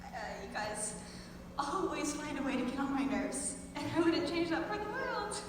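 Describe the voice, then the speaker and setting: A woman's voice: sits high, very animated, normal speed. A teenage girl reading a personal monologue as a theater student, tearful and half laughing as she goes. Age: teens. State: tearful, laughing through tears. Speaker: high school theater student. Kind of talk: personal monologue read to an audience. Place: large echoey room at a school performance. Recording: echoey hall from far off, noisy.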